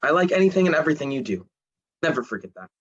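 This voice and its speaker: A man's voice: a little high, sing-song, racing, lo-fi sound. A man voicing a lovestruck, laid-back god of the underworld who flirts and jokes. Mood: flirty. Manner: smooth, flirty, joking.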